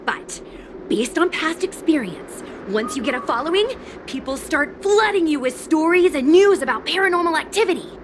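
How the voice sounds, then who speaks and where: A woman's voice: sits high, expressive, normal speed, background noise. A woman voicing a chatty, confident young girl influencer in an anime game, breezy and persuasive. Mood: confident explaining. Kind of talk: anime game dialogue acting.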